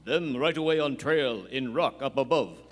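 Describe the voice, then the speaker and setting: A loyal, stoic tracker sidekick acted by a man in a stage radio Western. He talks in short, broken phrases with low grunts at a medium pitch. The theatre adds a long echo. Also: expressive, moderate pace, lightly acted. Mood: alert. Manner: clipped broken English, terse, grunting hums. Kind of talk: live Western radio play acting. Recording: echoey theatre hall.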